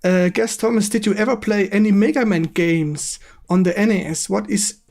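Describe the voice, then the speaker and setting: Casting: female stream host, native German with German accent, creaky voice, chatty, giggly, hesitant in English. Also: medium pitch, darkish tone. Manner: halting, searching for English words, giggly. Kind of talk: casual livestream chat and interview.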